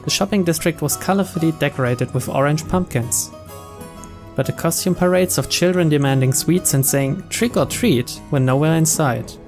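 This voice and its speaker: A man's voice: a little high, moderate pace, darkish tone, soft background music. A man reading a story aloud as scripted narration for a game playthrough.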